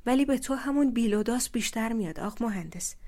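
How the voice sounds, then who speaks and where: A woman voicing a young village woman back from university, answering with coy, sharp comebacks. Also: a little high, expressive, pitched above the performer's own voice. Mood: sassy.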